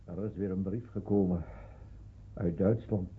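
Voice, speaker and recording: A man acting in a radio drama as a devout, solemn father of a family, speaking deliberately. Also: sits low, steady tone, breathy edge. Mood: subdued. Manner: slow, deliberate. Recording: thin phone-like radio drama recording.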